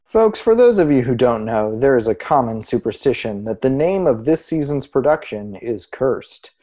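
Earnest local radio man played by an actor, soft mellow tone, formal delivery, deadpan comedy presenting. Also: mid-range voice, moderate pace, natural voice. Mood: explanatory. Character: earnest, measured small-town arts radio co-host. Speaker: radio co-host. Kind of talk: scripted mock-serious radio presenting.

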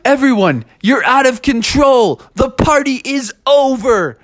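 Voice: high voice, sing-song, a little slow, a bit bright, voice pushed up, full character voice. A storyteller voicing an easygoing but exasperated male bear in a children's story, with indignant, slangy dude talk. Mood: fed up.

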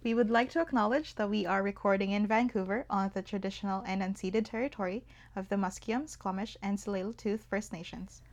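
Young female co-host from the Philippines, easygoing and conversational, pauses to think. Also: mid-range voice, steady tone. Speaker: podcast co-host. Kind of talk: casual podcast chat.

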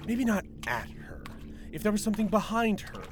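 Cynical thrall with swooping delivery. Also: male voice, sits high, big pitch swings, moderate pace, a little hiss or hum. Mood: needling. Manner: sardonic, fatalistic. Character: bleak, cynical male underhive thrall, worn-out survivor. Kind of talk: audio drama acting.

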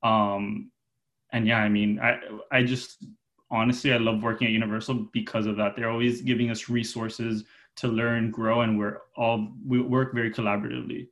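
A man's voice: mid-range voice, level tone, normal speed, fairly bright tone. A man in his twenties giving informal, rambling interview answers as a guest, often correcting himself. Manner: informal, enthusiastic wording, rambling with self-corrections. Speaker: interview guest, junior publicity manager.

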